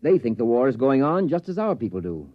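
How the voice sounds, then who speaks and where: A man's voice: medium pitch, sing-song, a little fast. A man playing an earnest, humane professor with an impassioned manner, in a 1950s radio drama style. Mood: thoughtful. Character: earnest, humane male professor pleading for peace. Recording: dull old radio recording.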